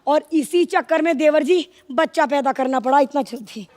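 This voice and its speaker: A woman playing a loud, dramatic, scolding village wife and mother in live TV sketch comedy. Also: high-pitched, normal speed, natural voice. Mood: comic complaining. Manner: theatrical, scolding, exclaiming. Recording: noisy stage with studio audience.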